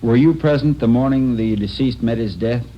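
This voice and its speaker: An actor playing a dry, official coroner questioning in a formal, impersonal way in a 1950s radio drama. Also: male voice, mid-range voice, normal speed, natural voice. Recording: muffled, noisy old radio recording.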